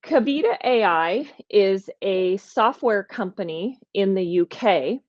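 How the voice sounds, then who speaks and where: A woman hosts a podcast in an energetic, emphatic way. She talks at a middle pitch and thinks aloud with small restarts. Her voice is dry and a little dull, with no room sound. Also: slow pace, dry recording. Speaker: podcast host. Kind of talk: conversational podcast talk.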